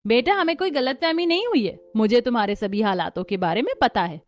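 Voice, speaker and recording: A woman's voice: a little high, animated, a little fast, natural voice. A woman playing a kindly middle-aged mother in a moral story, reassuring and persuasive. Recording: music under the voice.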